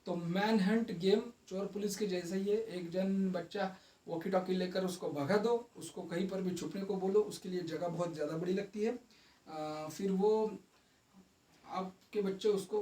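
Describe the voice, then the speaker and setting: A man with an Indian accent gives an informal explainer to viewers, halting at times and mixing in English terms. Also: sits high, steady tone, dark tone. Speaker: presenter of a parenting and child development video. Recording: echoey room, distant microphone.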